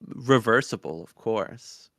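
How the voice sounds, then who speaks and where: A man co-hosts a casual review podcast in a very slow, halting way, laying out short fragments with care. His voice is gravelly, with a bright, forward edge. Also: lowish voice, lively intonation, no echo, clean studio sound. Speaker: podcast co-host.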